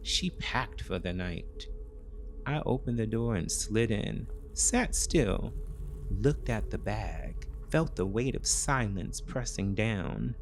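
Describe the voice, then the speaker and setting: A man narrating a scripted first-person thriller in short, measured, dramatic phrases. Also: on the low side, lively intonation, creaky, a little hiss or hum.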